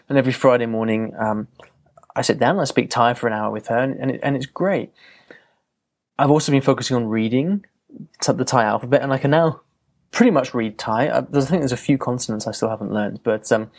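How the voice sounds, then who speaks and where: A man's voice: mid-range voice, a little mellow. A man hosting a podcast, talking on his own in a reflective, loose way with frequent fillers and restarts. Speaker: podcast host. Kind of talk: casual solo podcast monologue.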